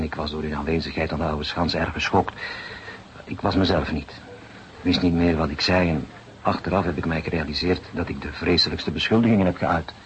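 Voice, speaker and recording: An actor playing an agitated, defensive bank deputy director, formal and protesting, in a detective radio drama. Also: male voice, a bass voice, a little hiss or hum, rough recording.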